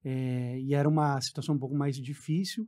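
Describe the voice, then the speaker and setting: A man's voice: mid-range voice, steady tone, a little fast, darkish tone. A man in his forties with a southern Brazilian accent, telling his story casually as a guest on a radio interview. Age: 40s. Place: radio studio.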